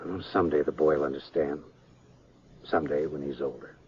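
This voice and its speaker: An actor playing an older small-town American sheriff, folksy and halting, in a 1950s Western radio drama. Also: male voice, a bass voice, steady tone. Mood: troubled. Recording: muffled, noisy 1950s radio recording.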